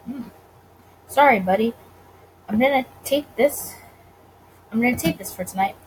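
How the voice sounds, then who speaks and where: A woman voicing a gruff, suspicious male speakeasy bouncer from a visual novel, curt and commanding. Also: on the high side, unhurried, a little hiss or hum, natural voice, poor audio.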